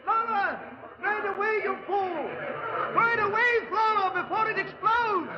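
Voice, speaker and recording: A man's voice: very high-pitched, unhurried, over a noisy crowd. An actor playing a frantic, impatient male crook in 1940s radio acting style. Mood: panicked. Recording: muffled radio recording, loud commotion.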